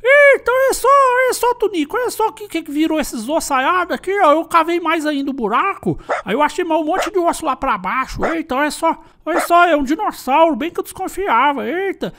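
An actor playing an excitable, simple country farmer in a cartoon, with a rural Brazilian caipira accent, exclaiming and rambling in a high put-on voice. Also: a little fast, few pauses, exaggerated voice. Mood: proud, excited. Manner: exclamatory, rambling, repeats himself. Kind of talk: animated cartoon monologue.

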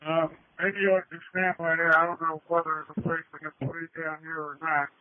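Higher-voiced man over crackly two-way radio. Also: little pitch movement, brisk, no echo. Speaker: emergency responder on radio. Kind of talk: fire radio traffic, short operational exchanges. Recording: noisy, narrowband patched radio transmission.

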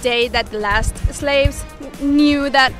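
A woman in her thirties from Spain, speaking English with a Spanish accent, giving earnest, measured answers into a handheld mic on a city street. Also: sits high. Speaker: passerby. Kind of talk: street interview. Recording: music bed under voice.

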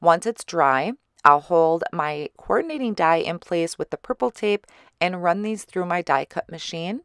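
A woman giving a chatty voice-over for a card-making tutorial, her voice slightly hoarse. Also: on the low side, measured pace, dry recording, studio quality. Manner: relaxed, chatty explaining with small fillers. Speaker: card-making channel host. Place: recorded separately as voice-over.

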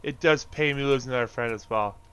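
A man's voice: medium pitch, quick pace, fairly bright tone, slight background noise. A man giving casual gameplay commentary, talking to the characters on screen and swearing mildly. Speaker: gaming video host.

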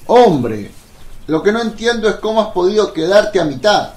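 An actor playing a hot-headed, blunt disciple, blustering and exclamatory in a religious radio play. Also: male voice, high-pitched, sing-song, slow pace, fairly bright tone, full character voice. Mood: hearty, eager. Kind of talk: religious radio drama acting.

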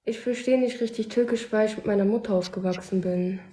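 A woman's voice: mid-range voice, brisk, mellow. A nineteen-year-old woman from Germany chatting on a video call, giving short, shy answers. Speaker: live stream guest. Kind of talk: casual video call chat.

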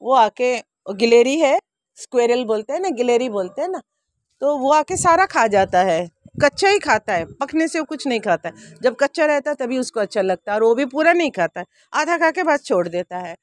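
A woman's voice: high voice, lively intonation, moderate pace, dry recording, studio quality. A woman in her sixties gives a homely, chatty tour of her rooftop garden, talking straight to her viewers and filming on a handheld phone outdoors. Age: 60s. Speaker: vlogger. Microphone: handheld phone camera.

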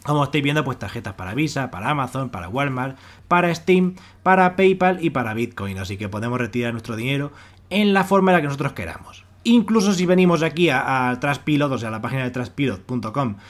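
A man's voice: mid-range voice, lively intonation, fast. A man with an accent from Spain giving a casual, wordy screen-share walkthrough as an online presenter, often repeating himself to explain. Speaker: YouTube presenter of money-making websites. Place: voice-over on a screen recording.

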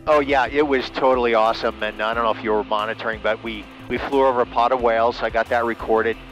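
Guy on a headset in a small plane, chatty n excited ham radio talk, says over a lot. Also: mid-range voice, fast, muffled recording, soft background music. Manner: relaxed, enthusiastic, full of fillers, ends turns with "over". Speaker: private pilot and ham radio operator. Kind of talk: casual radio chat. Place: small plane cockpit, then home radio room.

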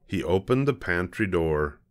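Very deep-voiced older man reading aloud. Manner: calm, measured reading. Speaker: storybook reader. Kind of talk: bedtime story narration.

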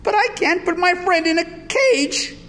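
A man's voice: on the high side, sing-song, moderate pace, bright, forceful tone, a little hiss or hum, pitched above the performer's own voice, full character voice. A man voicing an earnest young boy devoted to his pet in a storybook reading. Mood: earnest, hopeful.

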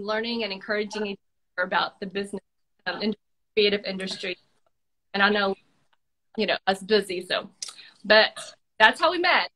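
A woman hosting a casual livestream interview over a video call, asking questions with many pauses and fillers. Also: medium pitch, sharp tone, rough recording. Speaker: host. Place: home room, indoors.

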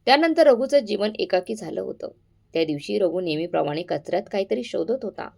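A woman's voice: medium pitch, animated, brisk, vocal fry, mellow. A woman narrating a scripted story in a dramatic, suspenseful way, addressing listeners directly.

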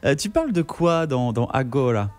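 A man's voice: mid-range voice, sing-song, moderate pace, darkish tone. A man in his twenties presenting a radio interview and putting questions to a guest, close on a studio microphone. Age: 20s. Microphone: studio microphone on a boom arm, close.

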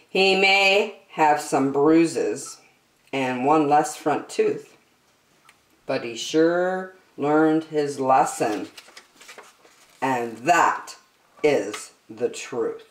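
An older woman hosting story time, reading a picture book aloud to young children with expressive, drawn-out emphasis. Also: low-pitched, distant mic. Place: small decorated indoor room. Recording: roomy echo.

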